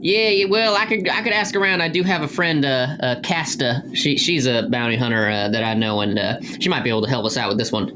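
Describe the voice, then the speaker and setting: A man's voice: mid-range voice, fairly even intonation, fairly quick, few pauses, pushed and bright, slight background noise, lightly acted. A man playing a folksy bounty hunter in an improvised tabletop game, rambling and hesitant as he talks. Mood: hesitant, candid. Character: folksy, rambling male bounty-hunting tracker. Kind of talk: improvised tabletop role-play. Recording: music behind the voice.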